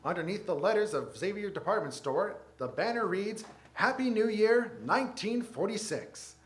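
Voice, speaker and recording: A man performs as a storytelling narrator in a 1940s radio play. The voice is high and lively, painting each scene in descriptive, scripted prose. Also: normal speed. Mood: scene setting. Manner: descriptive, present-tense scene setting.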